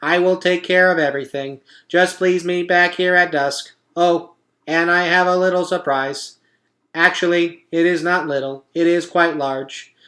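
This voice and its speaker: A perky storybook robot lady, played by a male reader, polite and cheerful. The voice sits high and rings out brightly, delivering formal announcements in a friendly, orderly way. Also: fairly even intonation, unhurried. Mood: perky, welcoming. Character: cheerful, polite female robot addressing her animal friends.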